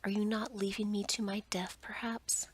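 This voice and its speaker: Weary storybook prince played by a woman, sorrowful and reproachful, in a breathy whisper. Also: medium pitch, flat delivery, normal speed, close to an everyday voice.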